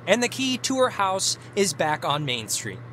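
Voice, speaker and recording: A man's voice: sits high, very animated, normal speed, bright, forceful tone, a little hiss or hum, full character voice. A young American man voicing a petulant, insecure teenage boy in a video game, whiny, defensive and sarcastic. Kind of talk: comic naturalistic video game acting.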